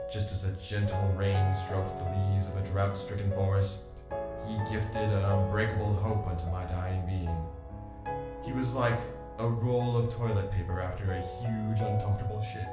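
A man acts out a brooding, hot-tempered teenage sports star for an anime parody. He speaks with pompous, melodramatic scorn. Music plays clearly behind his voice. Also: lowish voice, close to an everyday voice. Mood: dramatic, brooding. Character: brooding, arrogant, hot-tempered teenage male volleyball player. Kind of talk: melodramatic comedic fan-dub acting. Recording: music under the voice.

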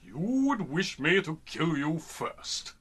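A man's voice: fairly high pitch, big pitch swings, slow, a bit bright, breathy edge, full character voice. A man playing a proud, literal-minded birdman warrior in a radio serial, speaking in a formal, archaic, declamatory way. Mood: grave, defiant. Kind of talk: old-time radio serial acting.

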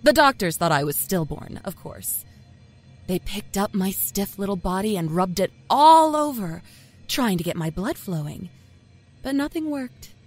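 A sly small-town Southern woman tells her own dark tale like a practised liar. She is wry, folksy and confiding, with a very animated, swinging pitch. Music plays softly behind her narration. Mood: wry, storytelling. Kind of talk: dramatic first-person horror story narration. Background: musical score.

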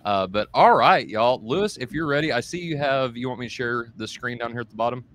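A man's voice: medium pitch, animated, fairly quick, fairly bright tone. A man in his thirties hosting and moderating a debate on a video call, upbeat and folksy. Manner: upbeat, folksy, says y'all. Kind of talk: casual show hosting. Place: home room with bookshelves. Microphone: headset and desk mic on video call.

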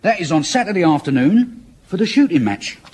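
An actor playing an eager, chatty older lance corporal in an old radio sitcom. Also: male voice, high-pitched, very animated, a little fast, a little mellow, breathy edge, full character voice. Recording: old radio broadcast, hiss.

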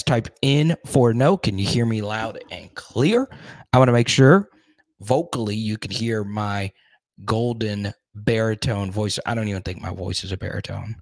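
A man in his thirties hosting a casual live stream, talking into a studio mic in a small room, animated and quick to repeat himself while reading out chat comments. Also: fairly low pitch, vocal fry. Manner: animated, repeats words, reads chat aloud. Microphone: studio mic on boom arm in front.

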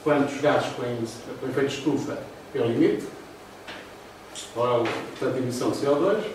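An older man with a European Portuguese accent giving a formal lecture over slides, pausing often with fillers. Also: medium pitch, a little mellow, a little hiss or hum. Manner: talks through slides, hesitant fillers. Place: classroom with projector. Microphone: far room camera. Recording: roomy echo from far off.

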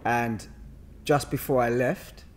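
A London man in his thirties talks casually into a podcast mic, with a mid-pitched voice. He tells stories in an animated, bantering manner, dropping in slang as he goes. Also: slow. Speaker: podcast guest, actor. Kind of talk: casual conversational storytelling. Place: bright living room, on a sofa. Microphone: podcast mic on a boom arm.

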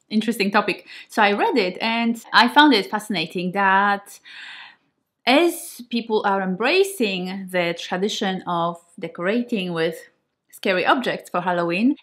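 Chatty Polish-born woman vlogging at home. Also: medium pitch. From Poland. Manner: chatty and reflective, laughs often. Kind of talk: casual talk to camera. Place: home kitchen and living room, indoors.

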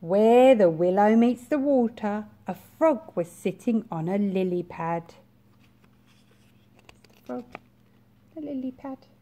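A young woman reading a picture book to small children, expressive and deliberate, with pauses. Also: medium pitch. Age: young adult. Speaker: reception class teacher. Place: small bright school classroom, indoors.

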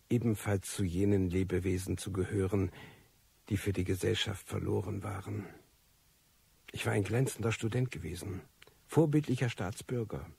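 A reclusive, disillusioned narrator in a radio play. His voice is low and very husky, with gravel in it. He tells his story in a brooding, ironic manner. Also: darkish tone, dry recording, exaggerated voice. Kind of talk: scripted first-person radio play narration.

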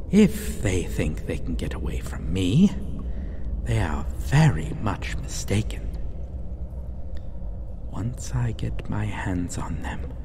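Man playing an ancient male vampire, possessive, menacing, theatrical, very slow drawn-out delivery, wide swings in pitch, gothic ASMR roleplay. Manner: slow, theatrical, swearing, swinging between whispers and cries. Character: possessive, menacing five-hundred-year-old male vampire lover. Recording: long hall reverb, faint noise.

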